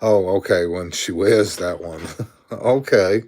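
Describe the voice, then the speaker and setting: A Brooklyn-accented man in his sixties talks slowly and easily in a lowish voice. He is chatting on a live stream, answering viewer comments aloud and chuckling often between replies. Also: darkish tone. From Brooklyn, New York. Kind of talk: casual live-stream chat.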